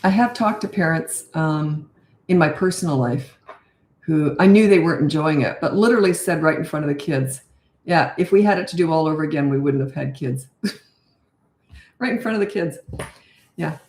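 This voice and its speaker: An older woman, a parenting coach, talking blunt and chatty with a raspy voice on a live-stream Q&A. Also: deep voice, moderate pace, pauses often, darkish tone, reverberant.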